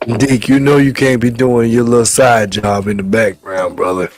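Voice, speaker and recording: A man hosting a casual talk show, hoarse from the flu, speaking in a measured, rambling way. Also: mid-range voice, level tone, faint music behind. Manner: measured, loose, repeats words as he talks. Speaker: show host.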